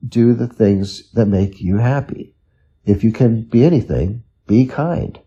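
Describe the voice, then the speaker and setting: A man's voice: lowish voice, unhurried, dark tone, breathy edge, dull audio. A man reading scripted informational passages aloud for an English lesson.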